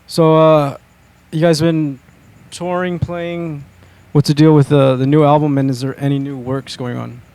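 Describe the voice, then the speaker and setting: A man's voice: on the high side, level tone, a little slow, few pauses, dark tone, clean tone. A man hosting a casual band interview, asking upbeat, joking questions. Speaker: podcast host.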